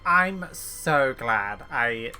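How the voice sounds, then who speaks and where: Bright, animated man reacting to a game. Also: medium pitch, drawn-out words, faint music behind. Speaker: streamer. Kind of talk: casual gameplay reaction commentary.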